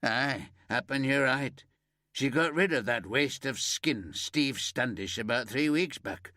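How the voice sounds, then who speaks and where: A man voicing a grieving old ex-miner from Yorkshire who keeps birds, in a broad homely Yorkshire accent, pitched above his own narrating voice. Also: animated, a little slow, no echo, studio quality. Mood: wistful. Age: older. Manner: broad and homely, higher and warmer than the narrator.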